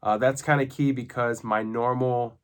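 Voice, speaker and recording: A man's voice: mid-range voice, steady tone, normal speed. Man with a coarse, congested voice. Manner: earnest, plain-spoken explanation. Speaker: vlogger documenting his own diet. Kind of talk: casual personal video diary.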